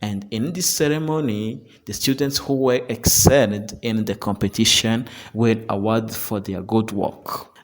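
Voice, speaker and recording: A man reading a scripted news report as its narrator. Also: lowish voice.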